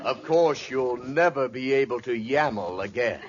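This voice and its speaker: An actor playing a mock-grave family doctor in a 1940s radio comedy, with a deliberate bedside manner. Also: male voice, mid-range voice, expressive, over a noisy crowd, close to an everyday voice. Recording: very noisy, dull old radio recording.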